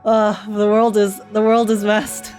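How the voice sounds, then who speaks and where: A woman's voice: mid-range voice, completely flat delivery, measured pace, a little mellow, soft background music. A woman giving casual, slangy, joking reaction commentary as a game streamer. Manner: slangy, joking, frequently chuckling.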